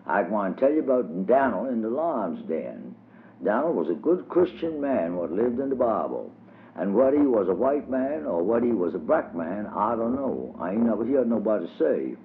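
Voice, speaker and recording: Man doing an old preaching teacher, drawling, lively pitch swings, lo-fi phone-like sound, faint hiss. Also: moderate pace. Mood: earnest preaching. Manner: drawling dialect sermonizing, rising preachy emphasis. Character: old male folk preacher teaching children, earnest.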